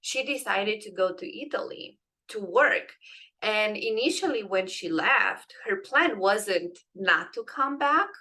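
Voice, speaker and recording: A young woman with a Romanian accent tells her story as a podcast guest. Her voice is bright and forward, and she talks in an earnest, searching way, full of ums and restarts. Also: mid-range voice, lively intonation, slow pace, rough recording. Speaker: guest, transitions coach. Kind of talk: personal storytelling in a podcast interview.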